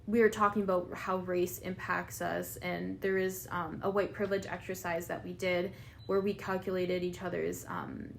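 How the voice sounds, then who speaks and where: A young Canadian woman speaks in a thoughtful, reflective way, and her mid-range voice frays into a gravelly fry. A faint background hiss sits under the recording. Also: fairly low pitch, little pitch movement, normal speed. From Filipino family, raised in Canada. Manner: reflective, gives frequent "mm-hmm" backchannels. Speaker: podcast co-host. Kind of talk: casual conversation.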